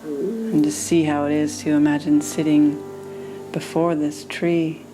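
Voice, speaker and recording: A woman meditation teacher leading a guided meditation in a soothing manner, with gentle pauses between phrases. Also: low-pitched, flat delivery, music in the background.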